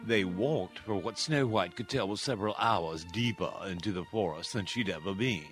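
A man's voice: low voice, moderate pace, a bit bright, soft background music. A man narrating a scripted story for a radio play.

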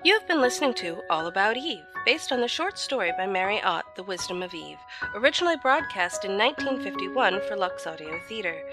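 A woman with a medium-pitched voice reads scripted credits as an announcer. Loud music plays underneath her throughout. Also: sing-song, brisk, few pauses.

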